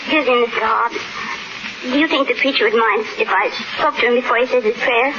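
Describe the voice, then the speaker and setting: A woman's voice: fairly high pitch, fairly quick, background noise, close to an everyday voice. A woman playing a humble, grieving dance hall gal, hesitant and earnest, in an old radio Western. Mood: humble, pleading. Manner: hesitant, pleading, polite. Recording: muffled, old radio recording with music.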